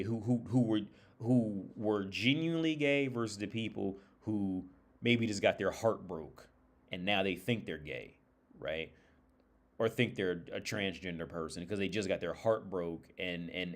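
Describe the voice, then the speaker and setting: A man with a lowish voice hosts a livestream on his own. He talks loosely and informally, as if thinking aloud. His speech is peppered with swearing, and he often repeats or restarts a phrase before moving on. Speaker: livestream host. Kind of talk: casual rambling livestream monologue with anecdotes.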